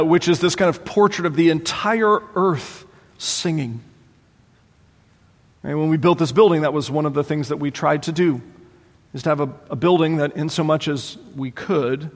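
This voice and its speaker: A man preaching a sermon as pastor, animated and exclaiming, voicing his listeners' doubts aloud, in a large church sanctuary. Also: a little high. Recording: long hall reverb.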